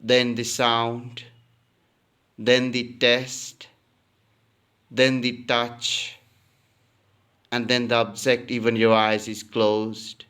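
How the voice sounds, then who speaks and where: A man guides a relaxation practice in a very smooth, resonant voice. His pitch barely moves, staying steady and monotone. He delivers calm instructions at an unhurried, slow pace, often repeating a phrase. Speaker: yoga nidra teacher.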